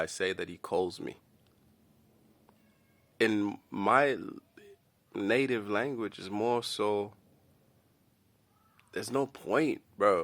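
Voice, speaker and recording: Gravelly low-voiced man ranting bluntly. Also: fairly bright tone. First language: Dinka. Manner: blunt, slangy, keeps calling the listener "bro"; halting, repeats words while thinking. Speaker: vlogger. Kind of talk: casual opinion rant to camera.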